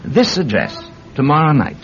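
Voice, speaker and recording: A sinister, courteous spy with a cultured English accent. His creaky voice rises and falls dramatically, insinuating and quietly menacing, in old radio drama style on a dull recording. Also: fairly high pitch, sing-song, normal speed, slight background noise. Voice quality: cultured, well-educated voice. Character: suave, sinister male Soviet spy feigning politeness.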